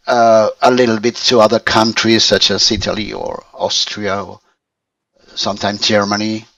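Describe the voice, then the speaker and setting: A Spanish-accented man in his fifties works through his English sentences slowly, restarting often and filling gaps with "uh". He sits back from the microphone on a call, so his voice sounds distant. The sound is dry, with little room to it. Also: lowish voice, a bit bright, no room sound. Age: 50s. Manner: hesitant, many restarts and fillers. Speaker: language student. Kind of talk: conversation practice over a call.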